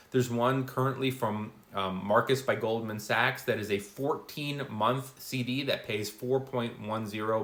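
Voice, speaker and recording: A man in his forties talks straight to camera in a relaxed, chatty way, tossing in offhand asides as he explains. His mid-pitched voice rings in a noticeably roomy space. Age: 40s. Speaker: finance video host. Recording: roomy echo.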